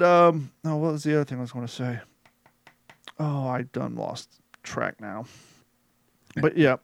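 A man's voice: fairly high pitch, no room sound, clean studio sound. A man in his forties from Kentucky with an American accent, hosting a podcast in a folksy, colloquial storytelling way. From Kentucky, United States. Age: 40s. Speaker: podcast host. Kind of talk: casual chat, storytelling.

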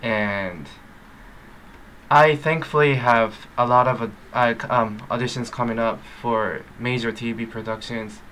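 Bright-voiced hesitant actor. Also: male voice, medium pitch, fairly even intonation, measured pace. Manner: hesitant, many fillers. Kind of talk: interview answers.

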